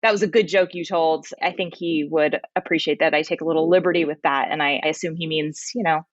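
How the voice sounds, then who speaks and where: A woman's voice: deep voice, steady tone, fast talker, dry recording, highs cut off. A young woman, a playwright, chatting casually in an interview.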